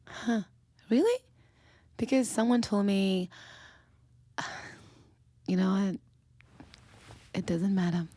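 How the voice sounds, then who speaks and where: A woman playing a candid, hesitant young woman talking softly with a new lover in an intimate, naturalistic audio drama. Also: medium pitch, slow, long pauses, no room sound. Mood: hesitant, reflective.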